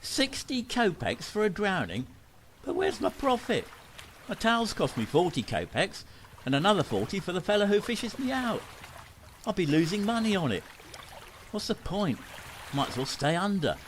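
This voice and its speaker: A man's voice: high-pitched, sing-song, moderate pace, slight background noise. An actor playing a pushy man who sells staged drownings, with melodramatic salesman patter, in an amateur radio play. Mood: aggrieved. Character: pushy male con man who stages his own drownings for money.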